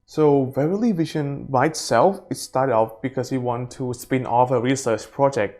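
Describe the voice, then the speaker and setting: A man's voice: medium pitch, normal speed, darkish tone. A young man from Thailand with a Thai accent, a native Thai speaker answering interview questions casually in simple English phrasing. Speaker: interview guest, startup co-founder.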